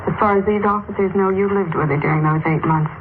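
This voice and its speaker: An actress playing a firm, concerned policewoman with a measured, probing manner in 1950s radio style. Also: medium pitch, steady tone, lightly acted. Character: firm, concerned policewoman questioning a young witness. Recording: noisy, telephone-like old radio recording.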